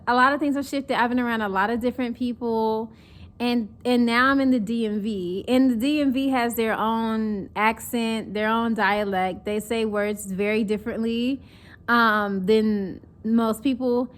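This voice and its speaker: A woman's voice: fairly high pitch, unhurried. A young woman from North Carolina with a Southern accent mixed with northern speech, chatting casually and animatedly to camera as a vlogger. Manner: chatty, animated, acts out mocking quotes.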